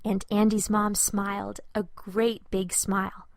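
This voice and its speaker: A woman's voice: medium pitch, level tone, unhurried, creaky, dry recording, studio quality. A woman reading a children's storybook as a scripted narration, expressively and with careful phrasing for young listeners.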